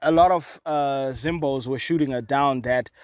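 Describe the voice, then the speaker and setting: A man's voice: mid-range voice, moderate pace, dry recording, clean studio sound. A man from Zimbabwe co-hosting a casual comedic talk show outdoors, chatty and given to riffs. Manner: chatty, laughing, goes on riffs. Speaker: podcast co-host. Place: outdoors in open dry field.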